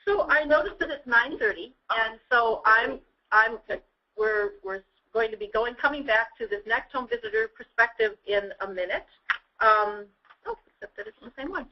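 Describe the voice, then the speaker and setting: Higher-voiced woman presenting, muffled audio. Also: animated, moderate pace. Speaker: workshop presenter. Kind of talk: informal presentation to a group. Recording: muffled, band-limited webinar audio.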